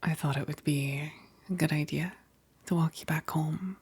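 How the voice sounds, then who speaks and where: A woman playing a teasing, affectionate, wistful vampire best friend in a soft, breathy whispered ASMR roleplay, speaking hesitantly. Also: low voice, steady tone, heavily acted. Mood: warm, relaxed. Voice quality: breathy, husky whisper with gravelly edges. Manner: slow, hesitant, punctuated with soft chuckles.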